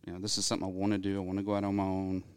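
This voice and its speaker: Smooth low-voiced man, about fifty. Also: monotone, darkish tone, no room sound. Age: 50s. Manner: halting, earnest, many fillers. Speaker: dentist guest. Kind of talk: casual interview answers.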